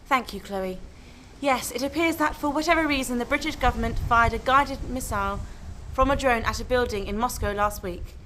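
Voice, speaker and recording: A woman playing an earnest news correspondent, giving a measured, formal live report in a radio drama. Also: fairly high pitch, a bit bright, a little hiss or hum, natural voice. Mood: serious.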